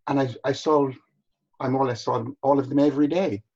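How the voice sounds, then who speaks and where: A man's voice: mid-range voice, fairly even intonation, brisk, darkish tone. An older man from Barbados, reminiscing as an interview guest, repeating phrases for emphasis, on a muffled recording. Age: older, over 60. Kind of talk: reminiscing in conversation.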